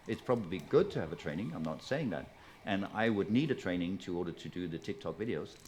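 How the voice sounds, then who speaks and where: A man speaking on a conference panel in a measured way, carefully qualifying his arguments. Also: low-pitched, lively intonation, darkish tone, slight background noise. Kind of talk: panel discussion. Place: conference breakout room.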